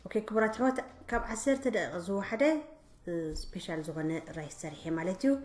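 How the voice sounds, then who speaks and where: A woman's voice: mid-range voice, lively intonation, very fast, darkish tone. Chatty woman cooking in an echoey kitchen. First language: Tigrinya. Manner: chatty, explains step by step, often addresses viewers directly. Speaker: home cooking vlogger. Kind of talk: casual cooking demonstration. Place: home kitchen, indoors. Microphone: camera mic, speaker off-screen behind the camera.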